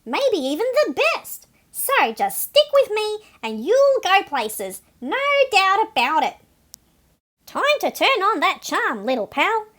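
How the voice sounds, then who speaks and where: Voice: very high-pitched, very animated, slow pace, fairly bright tone, exaggerated voice. A reader voicing a boastful, melodramatic seagull in a children's picture book, with a brash, wisecracking, theatrical delivery. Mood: cocky, scheming. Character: boastful, melodramatic cartoon seagull, streetwise snack thief. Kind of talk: animated picture-book read-aloud for children.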